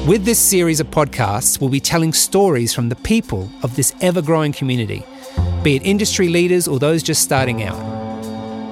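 A man hosting an agriculture podcast, reading scripted narration in a measured way. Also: on the high side. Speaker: podcast host. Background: background music.

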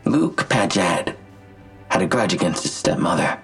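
An actor playing a smug, menacing vigilante villain in an audio drama, articulate and self-righteous, with a gravelly, husky voice and a deliberate, taunting delivery. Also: male voice, low-pitched, very animated, fairly bright tone, soft background music, full character voice. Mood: cold, matter-of-fact. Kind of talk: full-cast audio drama acting.

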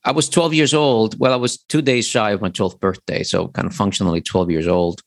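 A man's voice: on the low side, steady tone, brisk, vocal fry, no echo, clean studio sound. A man in his forties from the Dominican Republic, a lecturer telling his life story as a podcast guest, reflective, with fillers. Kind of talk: podcast interview.

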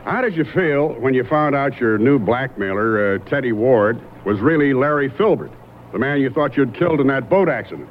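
An actor playing a young, shrewd investigator in a radio mystery, halting and deliberate as he narrates and probes. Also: mid-range voice, expressive, slight background noise, lightly acted. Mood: probing, accusing. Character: young male investigator, shrewd, hesitant, narrating his case. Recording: muffled vintage radio recording, music behind.

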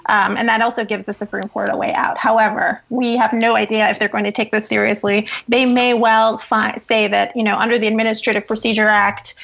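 A woman's voice: mid-range voice, vocal fry, a bit bright, breathy edge. A woman giving articulate, emphatic explanatory commentary as an interview guest, on a dull, lo-fi recording. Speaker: journalist guest. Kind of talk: interview commentary on law and politics.

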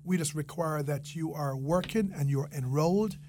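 Higher-voiced Jamaican man in an interview. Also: moderate pace, a little mellow, a little hiss or hum. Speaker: interview guest, restaurant franchisee and entrepreneur. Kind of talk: conversational radio interview.

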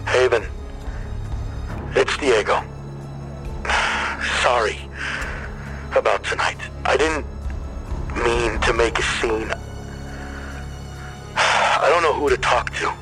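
An actor playing a despondent, broken man leaving a halting, unsure voicemail in an audio drama. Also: medium pitch, pushed and bright, faint music behind, rough recording. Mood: despondent, hesitant.

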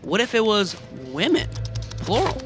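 Amateur sleuth woman; formal, earnest, animated mid-range voice, takes herself very seriously. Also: mid-range voice, unhurried, faint music behind. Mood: curious. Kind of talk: audio drama dialogue.

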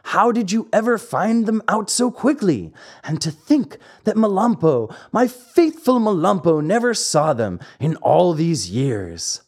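A jovial, boastful farmer, played by a storybook reader, speaking in a hearty, self-pleased way. The voice rises a little above the narration and swings in a lively way. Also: mid-range voice, unhurried, slightly rough, pitched above the performer's own voice, full character voice. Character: jovial self-satisfied adult male farmer.

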